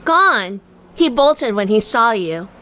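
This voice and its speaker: Bright, clear woman playing a wry noir heroine. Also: on the high side, big pitch swings, slow pace, exaggerated voice. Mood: urgent. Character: Junie, wry, tough female sleuth in hardboiled noir. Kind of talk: first-person noir audio drama narration.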